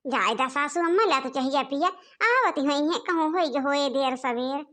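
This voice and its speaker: A patient young daughter-in-law from a village cartoon, played by a woman. Her voice is high and has an Awadhi accent. She soothes and placates respectfully. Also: lively intonation, normal speed, fairly bright tone. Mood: soothing. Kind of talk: animated comedy dialogue.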